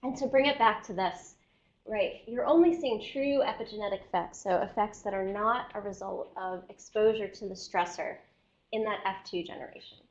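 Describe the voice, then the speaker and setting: A woman scientist giving an academic seminar lecture, explaining step by step and often checking in with her listeners, while losing her voice and clearing her throat. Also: mid-range voice, normal speed.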